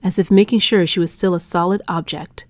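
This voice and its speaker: A female audiobook narrator reads dark romance in a fairly low voice. Her delivery is measured, hushed and dramatic, recorded very dry with no room around it. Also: fairly even intonation, dry recording.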